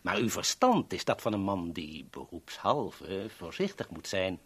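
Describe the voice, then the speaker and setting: Suave gunman villain, formal, polite threats, widely varied pitch, radio play. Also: wildly swooping pitch, unhurried, breathy edge, exaggerated voice. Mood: suavely probing. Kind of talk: 1970s Dutch radio drama acting.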